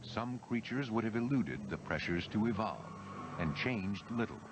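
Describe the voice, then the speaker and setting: A man's voice: on the low side, lively intonation, normal speed, noisy background. A man delivering scripted documentary narration as the narrator. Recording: loud music behind. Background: loud music.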